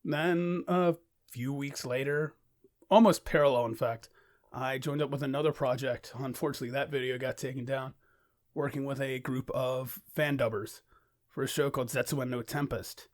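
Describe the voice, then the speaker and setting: A man's voice: medium pitch, steady tone, moderate pace. A young man narrating a reflective, informal video in a self-deprecating manner, as an amateur voice actor.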